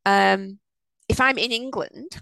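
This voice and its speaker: A woman with an Irish accent, softened by years in Australia, co-hosting a casual chat and telling stories in an animated way. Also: fairly high pitch, creaky, clean tone, low-quality recording. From Ireland. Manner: animated storyteller, quick asides and laughs. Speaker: podcast co-host.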